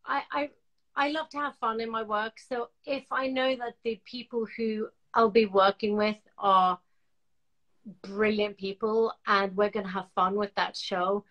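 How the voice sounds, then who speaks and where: Bright-toned bilingual woman actor guest. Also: fairly high pitch. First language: Norwegian. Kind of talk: casual video-call interview. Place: home room. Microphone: video call webcam.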